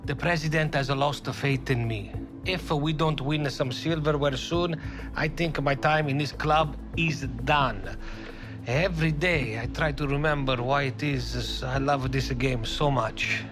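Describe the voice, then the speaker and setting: An actor playing a passionate, burdened football manager with an Italian accent in a video game cutscene. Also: male voice, medium pitch, fairly even intonation, measured pace, natural voice. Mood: burdened, earnest. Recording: music behind the voice.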